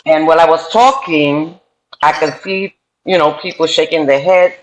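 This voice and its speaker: A woman's voice: sits low, steady tone, normal speed. A middle-aged woman who grew up in New York and speaks Spanish first, with a Spanish and New York accent. She is a podcast guest telling her life story earnestly, with frequent ums and restarts. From New York City. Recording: roomy echoey room sound.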